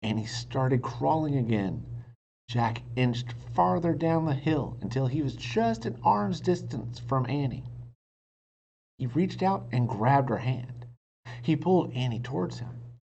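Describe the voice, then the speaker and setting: A man reading a children's book aloud in an expressive narrating style. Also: mid-range voice, a little slow, creaky. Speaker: storybook reader. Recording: dull sound, faint noise.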